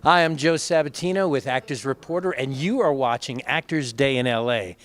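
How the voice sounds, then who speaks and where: A man's voice: mid-range voice, moderate pace, fairly bright tone. A man hosting on-location interviews in an upbeat, effusive and affirming manner. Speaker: interviewer and show host.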